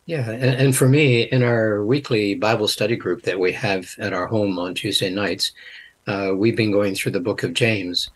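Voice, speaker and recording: An older man with a low, husky voice and a mixed international accent. He speaks as a podcast guest, thoughtful and halting, with frequent "uh" pauses between phrases. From Canada. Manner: hesitant, many uh pauses. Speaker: guest, linguistics teacher. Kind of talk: interview answers.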